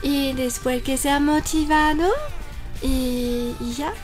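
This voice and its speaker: French-accented woman, a bit high-pitched. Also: fairly even intonation, slow pace, over music. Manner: speaks in long explanations with fillers like "mira" and "entonces". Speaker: guest from a French cultural institute. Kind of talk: interview answers.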